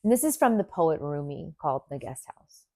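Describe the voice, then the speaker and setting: A woman teaching yoga nidra, leading a guided meditation in a gentle, soothing manner with deliberate pauses. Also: low voice, big pitch swings, no room sound, studio quality.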